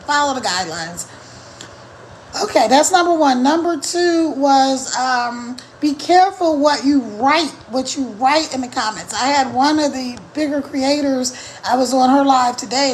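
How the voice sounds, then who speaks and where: A middle-aged woman, a little hoarse, chatting casually on a live stream as an online marketing coach, emphatic and repeating her key points. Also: sits high, slight background noise, low-quality recording.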